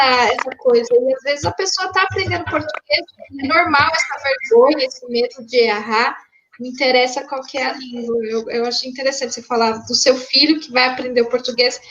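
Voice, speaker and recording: A woman's voice: high voice, fairly quick, a bit bright. A Brazilian woman hosting a casual interview, reading out viewer questions and checking in with frequent tag questions. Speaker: host, English teacher. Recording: dull lo-fi stream audio with noise.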